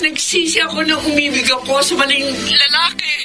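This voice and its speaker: A woman's voice: high voice, fairly even intonation, moderate pace, close to an everyday voice. A woman acting a humble, devoted single mother giving a heartfelt speech in a Filipino radio drama. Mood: grateful, earnest. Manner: earnest, emotional. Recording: music under the voice.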